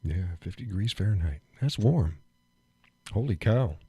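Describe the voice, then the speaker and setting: A man hosting a live stream, chatting casually and rambling with fillers and chuckles, phlegmy and clearing his throat. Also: low-pitched, animated, measured pace, mellow, no room sound. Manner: rambling, chuckling, thinks aloud with many fillers. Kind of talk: casual chat reading viewer comments.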